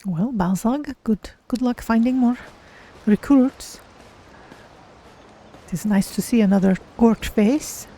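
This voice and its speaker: A woman plays a polite, curious cat-person exploring a fantasy game. Her voice is dark and mellow, her commentary measured and formal, with faint noise behind. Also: a little high. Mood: musing. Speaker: game streamer. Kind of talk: in-character gameplay commentary.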